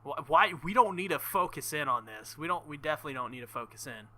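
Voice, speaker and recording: A man reading a visual novel aloud with casual commentary, halting and embarrassed, often breaking into nervous laughter. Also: on the high side, expressive, pushed and bright, slight background noise. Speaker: let's play host.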